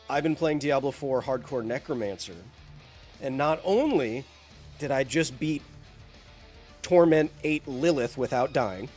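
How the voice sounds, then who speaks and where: A man live-streaming a game, chatting to his viewers in a rambling, jokey way while getting over bronchitis, a little congested. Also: a little high. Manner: rambling, jokey, talks to chat.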